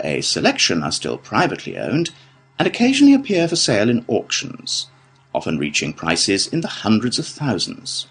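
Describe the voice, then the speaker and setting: A British man delivering a scripted academic lecture in a measured, formal manner. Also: on the low side, slightly rough. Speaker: lecturer in a listening test.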